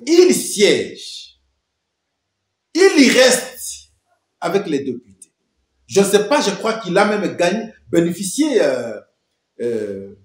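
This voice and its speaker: A man's voice: sits high, roomy sound. A man giving emphatic political commentary, full of rhetorical questions and repeated phrases.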